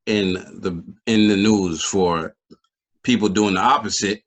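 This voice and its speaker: A man's voice: lowish voice, fairly even intonation, unhurried, over a noisy crowd. A man co-hosting a sports podcast, talking casually in a laid-back way. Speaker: podcast co-host. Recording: faint music behind.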